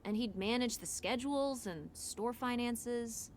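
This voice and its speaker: A woman's voice: fairly high pitch, unhurried, slight background noise, close to an everyday voice. A woman playing an earnest, pushy teenage daughter in a naturalistic video game drama, questioning in a blunt, plaintive way. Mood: defensive.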